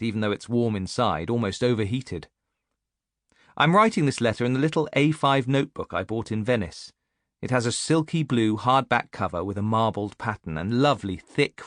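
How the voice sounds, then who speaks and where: A man's voice: mid-range voice, a bit bright, dry recording, studio quality. A man reading an audiobook as a reflective, self-mocking woman in her late thirties, musing and confiding as in a letter. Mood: musing, wry. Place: dry recording studio.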